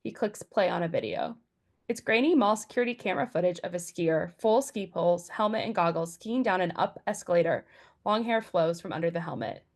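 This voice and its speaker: A woman's voice: fairly low pitch, lively intonation, a little fast. A woman narrating stage directions from a script at a table read.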